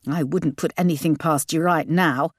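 A woman voicing an outraged, scolding middle-aged mother in an audiobook, shrill and dramatic. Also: steady tone, normal speed, no echo, clean studio sound. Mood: furious, shrill.

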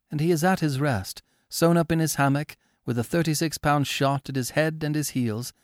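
A man voicing a calm, resolute young sailor in a storybook reading, speaking earnestly and raising his voice for commands. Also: mid-range voice, no echo, studio quality, pitched above the performer's own voice, natural voice.

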